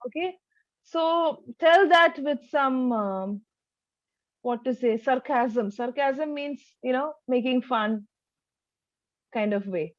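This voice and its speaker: High-pitched woman tutoring spoken English. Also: slow pace, pauses often, dry recording, lo-fi sound. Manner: instructive, demonstrates sentences with exaggerated intonation. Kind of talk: one-to-one speaking lesson.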